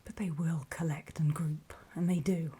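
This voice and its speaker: A woman playing a grave, weary apocalypse survivor in a horror ASMR roleplay, speaking in a soft, breathy, hesitant whisper. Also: low-pitched, steady tone, moderate pace, a little hiss or hum, natural voice. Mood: weary, ominous. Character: grave, weary female apocalypse survivor briefing others on zombies.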